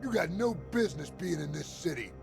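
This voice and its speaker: An actor voicing a taunting, bossy street thug with a gravelly voice in a video game. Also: male voice, on the high side, lively intonation, brisk, over music. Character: taunting male street thug, keeping his partner in line. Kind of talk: video game cutscene acting.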